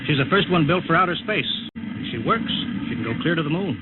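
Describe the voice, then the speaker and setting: A man's voice: on the high side, expressive, fast talker, over loud music, close to an everyday voice. Man acting an earnest rocket chief, tinny radio. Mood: earnest, insistent. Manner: serious, insistent, instructing. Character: earnest, cautious male rocket project chief. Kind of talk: 1950s radio drama acting. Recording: tinny, noisy old radio broadcast.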